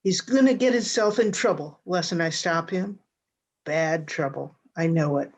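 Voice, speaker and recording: A woman's voice: low-pitched, a little slow, darkish tone. A woman playing a worried, hard-up frontier widow in a radio western, with plain rural, hesitant, apologetic speech. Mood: anxious, apologetic. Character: worried, starving frontier widow searching for her son. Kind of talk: old-time radio western acting. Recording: dull, lo-fi video-call audio.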